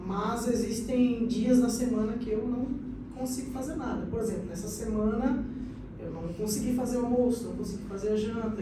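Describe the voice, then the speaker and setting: A woman in her late thirties from São Paulo state, earnestly telling her life story as a podcast guest, often saying "então, assim". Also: on the high side. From São Paulo state, Brazil. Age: 30s. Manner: earnest, explanatory, many "então, assim" fillers. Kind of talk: personal testimony in casual chat. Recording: echoey room, distant mic, noisy.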